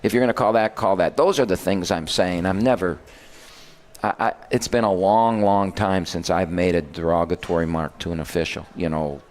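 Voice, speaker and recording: A man's voice: sits low, moderate pace. An older man, a basketball head coach, telling rambling stories on a radio show and correcting himself as he goes. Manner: rambling, self-correcting storyteller. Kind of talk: casual radio chat.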